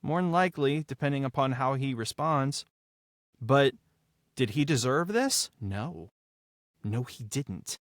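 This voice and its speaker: Guy on a podcast talking about his acting and writing, emphatic, pauses for drama, regular pitch, dry room. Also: lively intonation, dry recording. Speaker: podcast guest, audio drama writer, producer and actor. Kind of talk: reflective behind-the-scenes discussion.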